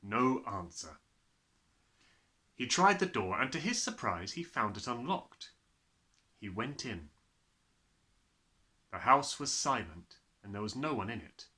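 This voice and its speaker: A man narrates a children's book as an audiobook reader. His voice is bright and forward, with very animated pitch movement that gives the telling an expressive shape. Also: slow pace, pauses often.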